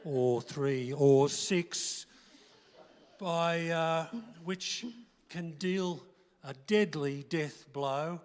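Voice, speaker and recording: A man's voice: on the high side, clean tone. A man playing an earnest, bumbling political schemer who stumbles through rhymed lines in a radio play. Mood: earnest, halting. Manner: halting, stumbling over words. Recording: echoey hall, live stage.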